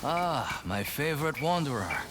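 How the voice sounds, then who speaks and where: A man playing a wandering storyteller in a video game, talking in a measured, theatrical, tale-telling way. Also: fairly high pitch, big pitch swings, over loud music. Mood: pleased greeting. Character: wandering male storyteller seeking a legendary sword.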